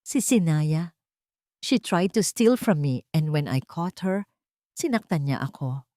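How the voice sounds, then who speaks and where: A scheming stepmother acted out by a woman narrator performs wounded innocence. She is melodramatic and tearful, with pitch that moves a lot in a dry, close recording. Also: animated, takes it slowly, dark tone, dry recording. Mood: distraught. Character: scheming stepmother posing as a distraught victim.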